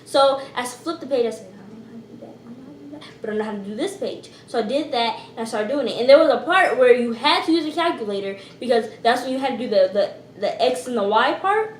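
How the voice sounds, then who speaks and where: A teenage girl from Georgia speaks with a high, bright voice. She talks loudly and expressively, full of slang and asides. The room around her echoes, and faint background noise sits under her voice. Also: quick pace, few pauses. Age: teens. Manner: loud, expressive, slangy storytelling. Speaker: vlogger. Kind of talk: casual storytime.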